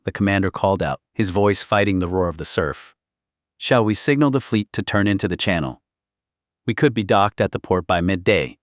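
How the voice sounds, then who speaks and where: Low-voiced solemn male audiobook narrator. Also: dry recording, studio quality. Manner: solemn, literary. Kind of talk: dramatic scripted narration of historical fiction.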